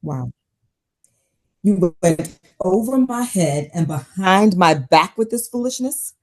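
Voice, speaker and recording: A woman's voice: lowish voice, lively intonation, slow. A woman playing a stressed, wary, sardonic doctor in a naturalistic table read of a drama script. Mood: indignant.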